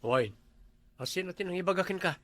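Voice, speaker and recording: A man's voice: high voice, expressive, a little fast, a bit bright, dry recording. A man with an Ilocano accent playing a teasing, nagging village husband in a comedy drama.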